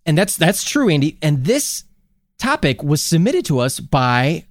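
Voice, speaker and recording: Jokey Chicago man with lively pitch. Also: unhurried, no echo, clean studio sound. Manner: jokey, rambling, self-interrupting. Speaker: podcast co-host. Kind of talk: casual comedic chat.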